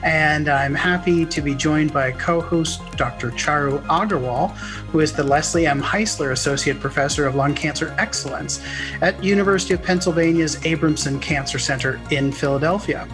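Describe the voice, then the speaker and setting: A man co-hosting a medical podcast, reading a scripted introduction in a plain, steady way. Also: a little high, normal speed, music in the background. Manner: scripted introduction, then casual chat. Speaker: podcast co-host, oncologist. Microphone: video call.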